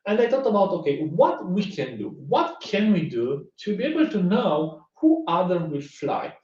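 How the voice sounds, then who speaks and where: A man's voice: high-pitched, moderate pace. Male conference speaker, chatty storyteller, many restarts; recorded far from a dull mic in an echoey room. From Romania. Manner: conversational, lively, many restarts and fillers. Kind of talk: online tech talk with anecdote. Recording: roomy, distant, dull sound.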